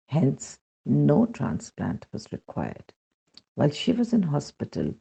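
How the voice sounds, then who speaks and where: Indian guy reading a devotional story, really husky and dark voice, kinda muffled recording. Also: medium pitch. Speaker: reader of devotional testimony. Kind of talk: scripted narration, read aloud.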